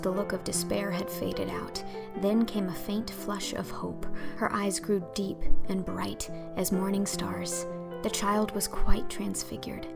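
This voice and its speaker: Woman reading a storybook, bit of gravelly fry in her voice, music playing behind. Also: mid-range voice. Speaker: narrator and audiobook reader. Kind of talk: scripted storybook narration.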